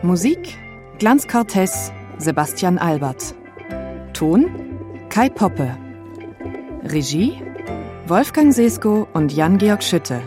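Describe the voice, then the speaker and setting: A woman's voice: fairly low pitch, very animated, takes it slowly, long pauses. A woman announcer reading scripted credits for a radio drama. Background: music.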